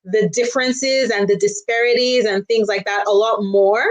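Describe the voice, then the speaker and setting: A woman's voice: mid-range voice, steady tone, moderate pace. A woman talking casually and chattily as an interview guest, often saying "you know" as she explains. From Nigeria. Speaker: guest, children's storyteller.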